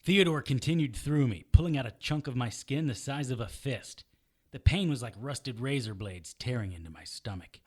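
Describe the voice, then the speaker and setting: A man's voice: medium pitch, expressive, normal speed, darkish tone, close to an everyday voice. A man playing a tough, sardonic fighter who tells his battles in first person in a noir audio drama. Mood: grim, intense. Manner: sardonic, gritty. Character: tough, wry immortal male fighter narrating his own battles.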